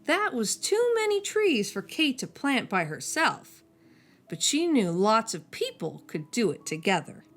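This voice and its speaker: A woman reading a children's picture book aloud as a storyteller, slowing down and dropping soft and low on each refrain. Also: sing-song.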